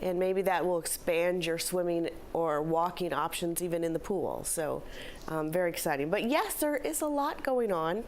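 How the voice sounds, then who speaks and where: A middle-aged woman, a philanthropy director, slightly hoarse, giving upbeat, chatty announcements in a studio interview. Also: fairly low pitch, lively intonation, normal speed. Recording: faint background noise.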